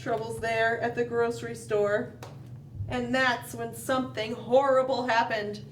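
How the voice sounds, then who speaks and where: A woman hosting a children's storytime, reading a picture book aloud expressively, with dramatic pauses and questioning asides to young listeners. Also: fairly high pitch, flat delivery. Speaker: children's library storytime host. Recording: roomy, distant mic, faint background noise.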